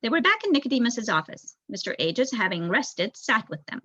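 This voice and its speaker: A woman's voice: fairly high pitch, big pitch swings, fairly quick, pushed and bright. A young woman reading a children's novel aloud as a scripted narration. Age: young adult. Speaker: audiobook reader, student volunteer. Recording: dull lo-fi recording.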